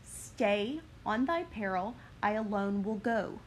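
A woman's voice: medium pitch, animated, slow, slight background noise, rough recording. A cold, curt young man, read by a woman. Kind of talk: dramatic reading of verse.

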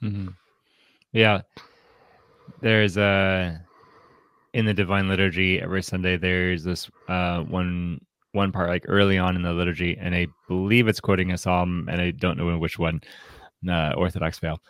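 A man co-hosting a podcast in casual, reflective discussion, speaking haltingly and often repeating words as he restarts. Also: sits low, level tone, a bit bright, smooth, no room sound. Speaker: podcast co-host.

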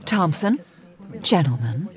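A woman playing an imperious, secretive company boss in a campy audio drama, husky and grandly commanding. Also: on the low side, very animated, slow pace, background noise. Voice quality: husky, breathy.

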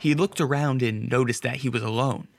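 A man's voice: medium pitch, fairly even intonation, a little fast, creaky, dry recording. A man narrating a scripted radio drama as a storyteller.

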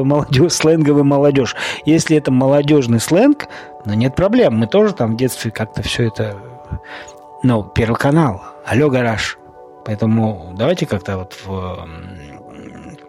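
A man hosting a podcast, talking in an informal, opinionated monologue with rhetorical questions and halting, colloquial phrasing that repeats words. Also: medium pitch, darkish tone. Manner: argumentative, poses rhetorical questions. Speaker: podcast host.

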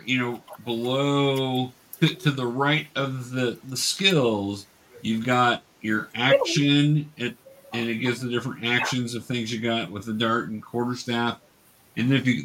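A man's voice: medium pitch, a bit bright, rough recording. A man acting as game master, conversationally narrating a tabletop game and patiently explaining things step by step to young players.

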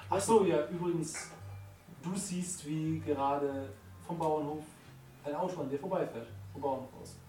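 A man in a relaxed, matter-of-fact way, narrating as game master. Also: fairly high pitch, moderate pace, faint music behind. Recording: roomy, distant mic, faint noise.

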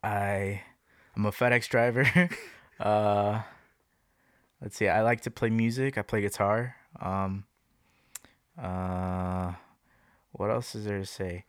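A man's voice: lowish voice, fairly even intonation, slow pace, pauses often, clear, resonant tone, no echo, studio quality. A man in his twenties hosting a casual first podcast episode, rambling and laughing as he talks. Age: 20s. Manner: rambling, laughing, self-correcting. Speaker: podcast host. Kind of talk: casual chat. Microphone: home podcast mic.

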